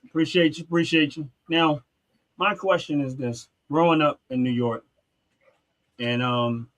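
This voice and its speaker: A man's voice: fairly high pitch, fairly even intonation, measured pace, a bit bright. Earnest man hosting a talk show. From North Philadelphia, USA. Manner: earnest, repetitive restarts, street-talk phrasing. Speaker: podcast host. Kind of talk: casual talk show discussion.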